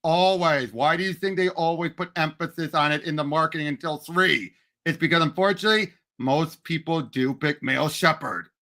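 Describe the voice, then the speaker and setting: A man speaks in a high, very sharp voice with a gravelly creak. He is a podcast co-host in casual fan debate, making his points insistently. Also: moderate pace.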